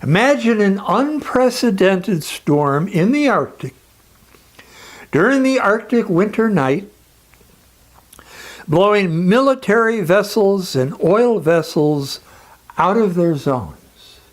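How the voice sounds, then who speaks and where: A speaker delivering a dramatic scripted monologue in a grave, emphatic, oratorical manner with deliberate pauses. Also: medium pitch, expressive.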